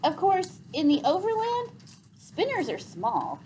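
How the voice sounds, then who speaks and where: A woman's voice: extremely high pitch, wildly swooping pitch, a little slow, dark tone, slight background noise, pitched above the performer's own voice, exaggerated voice. A woman voicing a plucky, joking boy in a children's storybook, casual and upbeat.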